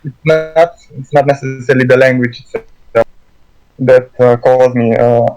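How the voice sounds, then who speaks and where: A man with a Romanian accent speaks at a middling pitch as a guest in a casual conversation. He halts often, stuttering and restarting as he searches for the words. His first language is Romanian. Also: steady tone, darkish tone, muffled recording. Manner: halting, stuttering with many restarts. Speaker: guest artist.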